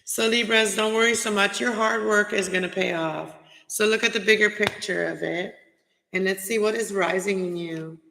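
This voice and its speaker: A man with a strained, raspy throat giving a chatty, reassuring casual tarot reading from home. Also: sits high, steady tone, normal speed, bright, forceful tone. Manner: chatty, reassuring, many filler phrases. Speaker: tarot reader. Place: home, cold room. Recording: echoey room with long reverb.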